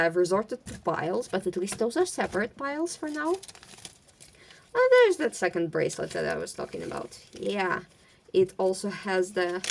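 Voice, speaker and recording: Slow, halting Ukrainian-accented woman chatting. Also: on the low side, gravelly, a little hiss or hum. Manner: rambling, halting, many fillers and restarts; stutters at times. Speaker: vlogger. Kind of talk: casual chat while sorting craft supplies. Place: at home among craft supplies.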